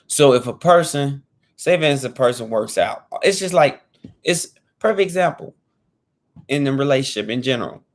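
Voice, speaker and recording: A man in his thirties speaks to camera in an easygoing, earnest vlog style. He loops back and restates his points, leaning on informal slang. His voice sits at an ordinary middle pitch. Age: 30s. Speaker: fitness vlogger. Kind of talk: casual talk to camera.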